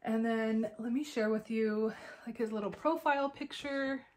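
A woman's voice: fairly high pitch, moderate pace, a little mellow. A young woman with a congested, nasal voice, slightly out of breath, chatting casually as a vlog host. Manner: chatty, many "like" fillers. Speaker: crafting podcast host. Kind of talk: casual personal vlog chat.

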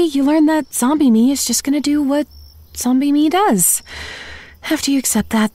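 A woman's voice: fairly high pitch, darkish tone, no room sound. A woman playing a wry, upbeat young female zombie who chats self-deprecatingly to the listener in a comedy audio drama. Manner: conversational, self-deprecating, sardonic asides. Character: chatty, wry, upbeat young female zombie narrating her undead life.

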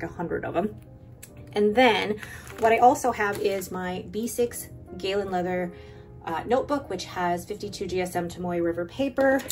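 A woman's voice: medium pitch, gravelly, darkish tone. A woman hosting a stationery channel, chatting enthusiastically in a casual voice-over, with rambling asides. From Filipino heritage. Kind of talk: casual voiceover while swatching inks. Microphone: voice-over recorded separately.

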